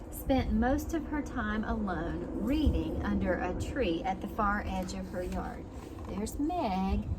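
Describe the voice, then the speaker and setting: Woman reading a storybook aloud, noisy recording. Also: mid-range voice. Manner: expressive, explanatory, prompts listeners with simple questions. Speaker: preschool teacher reading aloud. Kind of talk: storybook read-aloud for young children, with asides.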